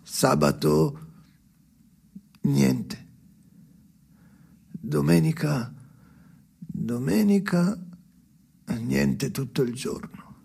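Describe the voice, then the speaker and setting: An actor playing a weary, anguished old man in an experimental radio drama monologue, speaking in halting fragments with a gravelly voice. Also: fairly high pitch, darkish tone, exaggerated voice. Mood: brooding, empty. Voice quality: creaky, gravelly. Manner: halting, fragmentary phrases with heavy pauses. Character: weary, anguished old man, haunted storyteller alone by the sea.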